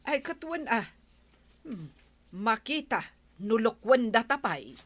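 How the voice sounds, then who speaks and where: A woman's voice: fairly high pitch, sing-song, slow, a bit bright, exaggerated voice. A woman playing a plain-spoken, grumbling and resigned village wife in a radio comedy, with a Filipino accent. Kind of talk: Ilocano radio comedy drama acting.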